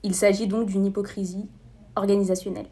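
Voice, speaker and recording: A woman's voice: mid-range voice, level tone, fast, warm tone, slight background noise. A young woman playing a quiz contestant, reading prepared answers with small hesitations. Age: young adult.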